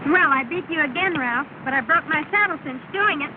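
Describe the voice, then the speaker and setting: Actress as a high-voiced sporty young lady. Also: a little fast, lightly acted. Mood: playful. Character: sporty, teasing young society woman. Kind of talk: 1930s film acting. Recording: tinny, noisy 1930s film soundtrack.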